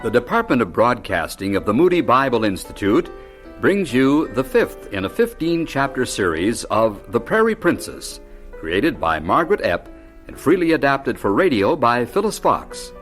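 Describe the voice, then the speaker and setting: A man's voice: medium pitch, very animated, normal speed, a bit bright, faint music behind. A man reading a scripted introduction as announcer of a radio drama.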